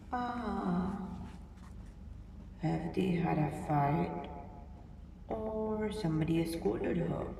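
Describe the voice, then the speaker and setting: A woman reading a picture book aloud to young children, expressively, pausing to think aloud and ask them simple questions. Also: fairly high pitch, dark tone. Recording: roomy, distant mic, clear background noise.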